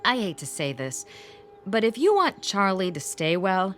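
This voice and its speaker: An actress playing a bossy, practical grown daughter in a radio drama, firm, emphatic and no-nonsense. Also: female voice, medium pitch, sing-song, normal speed, a bit bright, slight background noise. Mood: stern, insistent.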